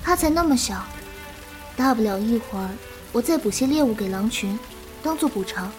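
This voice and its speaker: A woman playing a gentle, compassionate young martial-arts disciple who explains patiently in a Chinese period audio drama. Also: a little high, lively intonation, moderate pace, music in the background, natural voice. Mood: sad.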